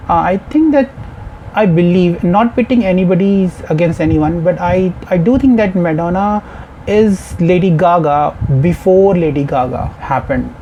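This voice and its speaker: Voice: medium pitch, moderate pace, mellow, lo-fi sound, slight background noise. A person with an Indian accent giving casual, musing, conversational reaction commentary. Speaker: reaction video host. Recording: music playing behind the voice. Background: music video soundtrack.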